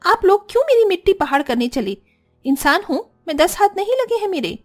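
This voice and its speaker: A woman's voice: extremely high pitch, very animated, fairly quick, soft background music, pitched above the performer's own voice. A woman voicing an arrogant, bossy young married sister-in-law in a cartoon family drama, scolding and whiny. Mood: irritated. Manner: scolding, self-centred, whiny.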